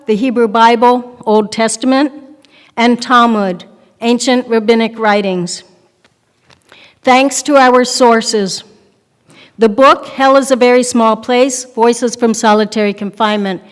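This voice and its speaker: An older woman presenting an event, reading a scripted introduction in a measured, formal way. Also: a little high, clear, resonant tone. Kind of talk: scripted introduction read aloud. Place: synagogue sanctuary, microphone on stand. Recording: reverberant hall through podium microphone.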